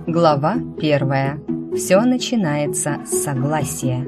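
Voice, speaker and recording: A woman's voice: low voice, expressive, takes it slowly, over loud music. A woman reading a fantasy novel as a scripted audiobook narration.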